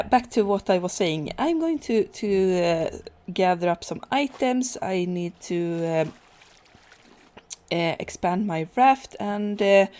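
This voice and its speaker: Hesitant woman narrating her gameplay. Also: lowish voice. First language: Swedish. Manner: relaxed, hesitant, many fillers and restarts. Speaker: gaming channel host. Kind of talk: casual let's play commentary.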